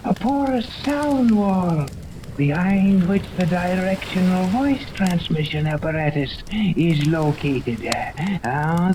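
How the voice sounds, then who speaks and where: An actress playing an imperious, menacing female villain in a 1930s radio serial, speaking with haughty, commanding, formal diction. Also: low voice. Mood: condescending. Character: imperious, menacing woman villain with a paralyzing ray gun. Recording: noisy old 1930s radio recording.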